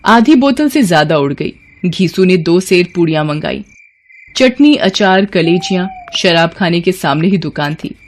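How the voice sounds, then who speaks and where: A man's voice: sits high, slight background noise. A man narrating a scripted story as a storyteller.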